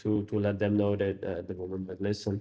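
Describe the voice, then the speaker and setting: Low-voiced Dutch man hosting, hesitant. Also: completely flat delivery, rapid-fire, warm tone. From Netherlands. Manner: hesitant, many fillers and restarts. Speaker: hypnotherapist and podcast host. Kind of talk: casual interview chat.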